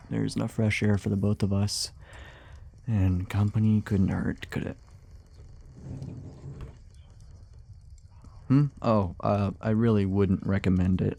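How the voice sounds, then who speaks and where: A man's voice: on the low side, vocal fry, dark tone. A man playing a weary, grumbling monster hunter in an immersive fantasy audio roleplay, guarded yet sociable, with a hesitant, wryly sarcastic, muttering delivery. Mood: wry, friendly.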